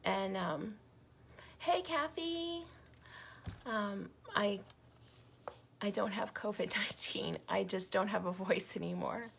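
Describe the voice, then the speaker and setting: A woman hosting a casual livestream with a hoarse, failing voice, talking indignantly to her viewers. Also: medium pitch, slow, long pauses, slight background noise. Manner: indignant, gets louder when riled up. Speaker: activist livestream host.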